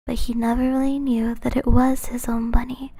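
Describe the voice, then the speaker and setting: A woman streamer reading a children's story aloud in a soft, gentle whisper. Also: a little high, flat delivery, normal speed, breathy edge.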